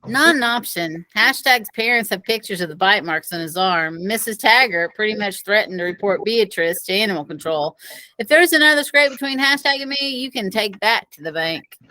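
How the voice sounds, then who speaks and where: A woman's voice: mid-range voice, lively intonation, moderate pace, bright, forceful tone, poor audio. A woman reading the dialogue of a frustrated, protective schoolboy in a children's audiobook. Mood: frustrated, resigned. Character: protective, frustrated schoolboy warning his friend. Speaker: audiobook reader.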